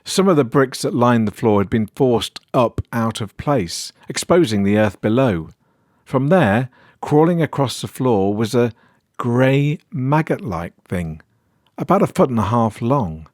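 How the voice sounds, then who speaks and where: British guy reading a weird horror tale, mid-pitched voice, dry close recording. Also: very animated, measured pace, darkish tone, no room sound. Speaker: story narrator.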